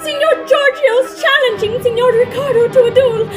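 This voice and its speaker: A woman playing an excitable, tender-hearted young member of an opera company in a comic audio drama. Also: very high-pitched, moderate pace, music in the background. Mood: frantic.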